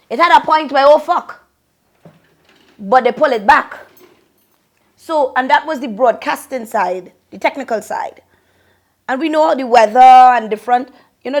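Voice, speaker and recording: A woman from Trinidad with a Trinidadian accent, ranting bluntly and profanely to camera in Creole-flavored casual talk. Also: sits high. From Trinidad and Tobago. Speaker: vlogger and longtime event host.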